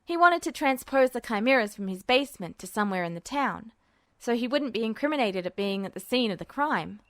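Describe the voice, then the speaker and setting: A woman voicing a brash, irritable young male alchemist in a podfic reading, defensive and quick. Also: a little high, animated, no room sound, studio quality, lightly acted. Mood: huffy.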